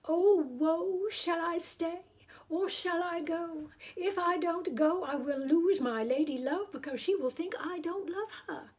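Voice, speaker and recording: A woman's voice: extremely high pitch, unhurried, darkish tone, voice pushed up. A woman voicing a fearful, lovelorn male knight in a storybook, melodramatic and wailing. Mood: despairing. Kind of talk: storybook character reading.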